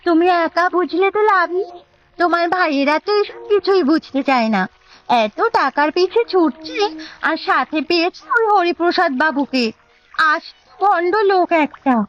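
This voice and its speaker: A woman's voice: extremely high pitch, moderate pace, dull audio. A woman voicing a caring village wife in a Bangla cartoon, coaxing and matter-of-fact. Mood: consoling.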